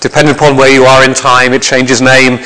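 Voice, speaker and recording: A man's voice: mid-range voice, flat delivery, fairly quick, bright, forceful tone. A man giving an academic presentation to an audience in a lecture hall, speaking conversationally with frequent restarts and repeated words. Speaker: visiting lecturer.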